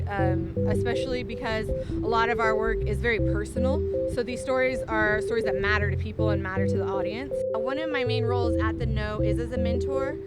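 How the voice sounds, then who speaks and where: Young woman mentor over loud music. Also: fairly high pitch. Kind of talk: interview answers. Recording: loud music bed behind.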